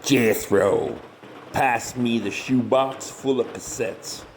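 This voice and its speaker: A man's voice: mid-range voice, slow pace, vocal fry, slight background noise. A man playing a cocky, crude backwoods monster hunter with a Southern US accent, sarcastic, folksy and bossy, in a comedy horror audio drama. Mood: mocking.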